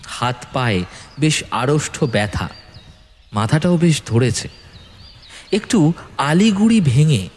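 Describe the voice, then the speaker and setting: A man's voice: medium pitch, a little hiss or hum. A man narrating a scripted horror audio story in a suspenseful, theatrical way.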